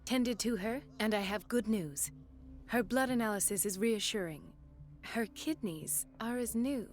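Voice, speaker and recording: An actress playing a composed, caring and determined hospital doctor in a video game, with a measured clinical delivery. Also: female voice, fairly high pitch, soft background music, natural voice. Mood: reassuring. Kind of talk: video game cutscene acting.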